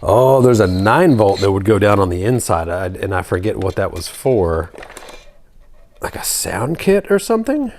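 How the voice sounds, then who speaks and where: Low-voiced man rambling over background noise. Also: big pitch swings, moderate pace, darkish tone. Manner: rambling, thinking aloud. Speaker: RC hobby channel presenter. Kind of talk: casual nostalgic show-and-tell of a toy truck. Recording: clear background noise with clicks.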